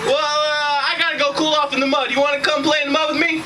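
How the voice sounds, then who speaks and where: A man's voice: very high voice, level tone, fast talker. A man voicing a boastful, scatterbrained pig in an amateur puppet show, with exaggerated delivery. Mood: silly, distracted. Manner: boastful, exaggerated, easily distracted. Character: know-it-all, vain, scatterbrained pig puppet. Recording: distant mic, noisy outdoor farm.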